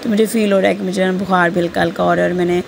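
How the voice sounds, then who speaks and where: A woman's voice: lowish voice, little pitch movement, racing, creaky, slight background noise. A woman in her thirties with a Pakistani Urdu accent, a mother narrating a home cooking vlog in chatty, rambling voice-over, her throat sore and a slight fever on her. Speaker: vlogger, mother. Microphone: voice-over at close mic.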